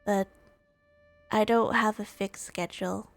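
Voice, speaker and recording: A woman livestreamer chatting casually during a game, halting and often rephrasing herself. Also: medium pitch, steady tone, creaky. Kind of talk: casual gaming livestream chat. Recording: roomy, music under the voice.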